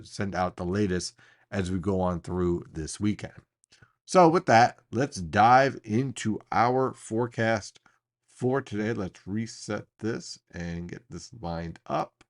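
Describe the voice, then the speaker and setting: A man presenting an informal weather briefing as a forecaster, explaining things and stressing key points. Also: fairly low pitch.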